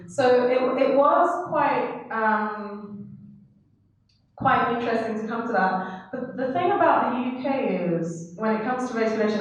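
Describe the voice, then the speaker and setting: A woman from Trinidad with a Trinidadian accent, speaking as a panellist in a reflective, conversational way with fillers. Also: mid-range voice. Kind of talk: panel discussion. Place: university hall. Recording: echoey hall, speaker far from mic.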